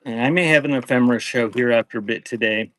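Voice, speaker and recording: A male live auction host with a mid-pitched voice. He announces each item in brief, clipped phrases. Between lots he slips into casual chat with the people watching. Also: fast, fairly bright tone. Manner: clipped announcements mixed with casual asides to viewers. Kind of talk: live-stream sales auction, calling out items in short phrases.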